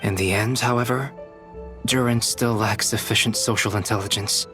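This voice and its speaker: A composed, low-voiced scholar. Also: male voice, fairly even intonation, bright, forceful tone, faint music behind. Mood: detached analysis. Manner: measured, formal, detached. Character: composed, analytical young male scholar, cool and dry. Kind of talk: video game voice acting.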